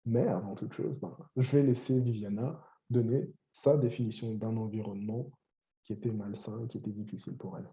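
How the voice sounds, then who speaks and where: A man with a low, very dark and mellow voice speaks in a measured, earnest way as he shares his own story. The sound is dull and lo-fi. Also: steady tone. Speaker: coach and channel host. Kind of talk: reflective personal testimony.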